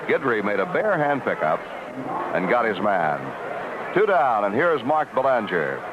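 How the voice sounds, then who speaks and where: Lively male baseball announcer, vintage radio. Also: lowish voice, a little slow. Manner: descriptive, clipped phrases. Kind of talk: live radio sports commentary. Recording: dull vintage 1970s radio, heavy noise.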